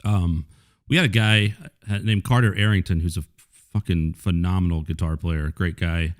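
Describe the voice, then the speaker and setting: A man hosting a podcast, asking easygoing questions and checking with a quick "right?". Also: low-pitched, fairly even intonation. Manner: laid-back, chatty, asks leading questions with "right?". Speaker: podcast host. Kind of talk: casual interview chat.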